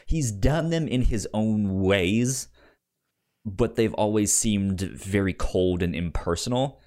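A man co-hosting a podcast, chatting casually about television in a halting way, often stammering over the starts of words. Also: on the low side, warm tone. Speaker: podcast co-host. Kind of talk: casual chat discussing a TV series.

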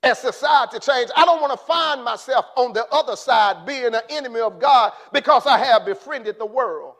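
A man preaching a sermon in an impassioned way, rising to shouts and then dropping low. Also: animated, normal speed.

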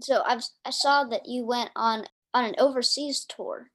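A woman's voice: a little high, steady tone, moderate pace, a bit bright. A woman interviewing a singer in a casual conversation, asking questions and giving frequent short affirmations. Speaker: interviewer, musician.

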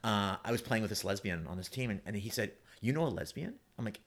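A man chatting casually as a playwright guest on a panel, telling stories in an animated, emphatic way. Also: on the low side, fast.

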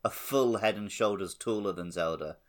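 A man's voice: fairly low pitch, moderate pace. A man hosting a podcast in casual conversation, with a playful, wry manner. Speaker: podcast host. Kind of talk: casual chat.

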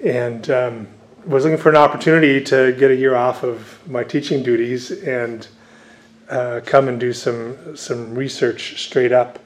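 A man's voice: mid-range voice, fairly even intonation, a little slow. A man who grew up speaking Dutch, a visiting scholar and author answering interview questions at length, with many fillers and restarts.

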